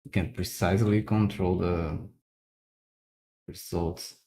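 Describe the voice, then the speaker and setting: A man's voice: low voice, fairly even intonation, slow pace, mellow. A man whose first language is Brazilian Portuguese, speaking English with a Brazilian accent. He talks casually while drawing, stopping and repeating words as he thinks. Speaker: illustrator.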